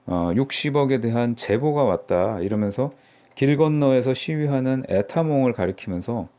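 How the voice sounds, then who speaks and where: A man's voice: mid-range voice, dark tone. Mocking Korean man on politics. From Seoul. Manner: mocking, rambling, many fillers. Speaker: political YouTuber. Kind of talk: casual political commentary.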